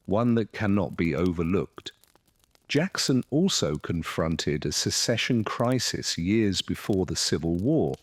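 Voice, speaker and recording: A man narrating history slowly and gently as a soft bedtime story. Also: low-pitched, dry recording. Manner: slow, gentle, measured storytelling.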